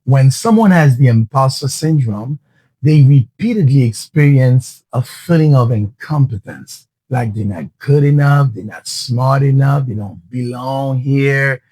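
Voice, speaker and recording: A husky-voiced man coaches his listeners in a podcast. He talks earnestly and often restarts his phrases. The sound is dry and close. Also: mid-range voice, a little slow, dark tone, no room sound, rough recording. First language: Haitian Creole. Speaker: podcast host. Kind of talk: motivational podcast talk.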